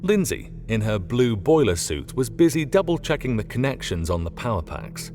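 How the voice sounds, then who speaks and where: A man reading a scripted story as an audiobook narrator, in an expressive storytelling manner. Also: mid-range voice, normal speed, faint music behind.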